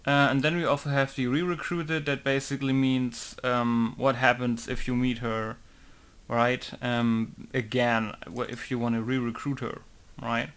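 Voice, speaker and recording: A man's voice: medium pitch, little pitch movement, a little slow, fairly bright tone, clear, resonant tone. Young man rambling through a modding tutorial. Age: young adult. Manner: rambling, many fillers and self-corrections. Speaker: game modder. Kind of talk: casual tutorial commentary.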